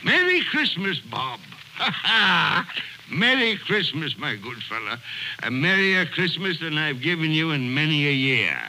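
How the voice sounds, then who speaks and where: Excitable old miser, wildly swooping pitch, hearty, exclamatory, old radio drama, background hiss. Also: male voice, a little slow, heavily acted. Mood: jubilant, hearty. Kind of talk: 1930s radio drama acting. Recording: noisy vintage radio broadcast.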